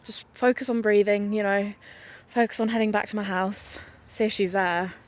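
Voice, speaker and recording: Young female podcaster played by an actress, audio drama, chatty, teasing, natural delivery, medium pitch. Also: steady tone, moderate pace, lightly acted. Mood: uneasy.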